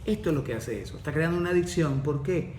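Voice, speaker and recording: A Venezuelan man with a fairly high voice explains in a measured, lecturing way, asking and answering his own questions. He sits back from the mic in an echoey room. A faint hiss runs under his voice. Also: expressive, a little mellow, far from the mic. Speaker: course facilitator, guest. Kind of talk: explanatory podcast talk.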